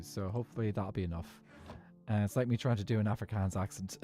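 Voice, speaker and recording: A man's voice: on the low side, darkish tone. A man with a Dublin Irish accent, rambling casually as he chats while gaming. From Ireland. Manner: rambling, talks while playing. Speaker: streamer.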